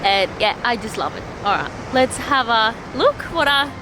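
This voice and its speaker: A young woman from Sweden with a Swedish accent, chatting excitedly in a casual travel vlog and laughing often. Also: a little high, moderate pace, background noise. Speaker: vlogger.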